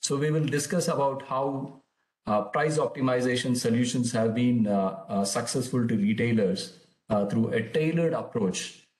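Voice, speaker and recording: A man moderating a webinar over a video call, hesitant and filling pauses with "uh". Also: mid-range voice, darkish tone. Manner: hesitant, many "uh" fillers. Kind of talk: webinar introduction. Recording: echoey room over a call.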